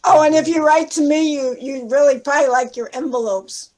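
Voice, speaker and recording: High-voiced modest woman from the East Coast. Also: brisk, darkish tone. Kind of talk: casual video call chat.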